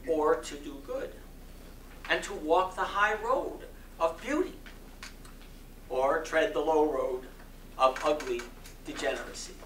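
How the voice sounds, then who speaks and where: A man performing a dramatic sermon reading in an oratorical style, with solemn, emphatic phrasing and long deliberate pauses. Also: a little high, bright, forceful tone. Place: community center hall before an audience. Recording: roomy echo, distant mic, faint noise.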